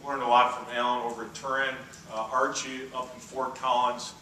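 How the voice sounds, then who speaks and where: A middle-aged man with a booming voice giving a conference keynote, telling relaxed, self-deprecating stories with plenty of "uh" fillers. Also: normal speed, a little hiss or hum. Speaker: keynote speaker. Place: large conference hall. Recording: very echoey hall, voice from far off.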